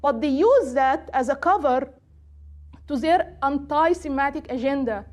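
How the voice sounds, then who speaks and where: A middle-aged woman with an Egyptian accent, a native Arabic speaker, giving an earnest, deliberate political talk off the cuff. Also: high-pitched. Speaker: political activist and guest speaker.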